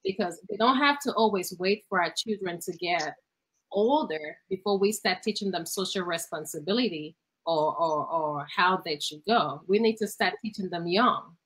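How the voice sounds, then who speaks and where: A woman's voice: lowish voice, normal speed, a bit bright, slightly rough, rough recording. A middle-aged woman from Cameroon, a guest in a studio interview, speaking earnestly and motivationally and sometimes repeating words while she searches for them. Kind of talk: conversational interview answer. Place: television studio.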